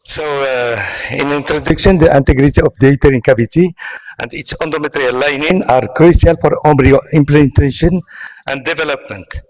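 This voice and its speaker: Halting Moroccan-accented man lecturing on medicine. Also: a little high, a crowd in the background, poor audio. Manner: halting, with frequent pauses and hesitations. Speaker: physician giving a conference lecture. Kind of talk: medical presentation. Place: online conference talk.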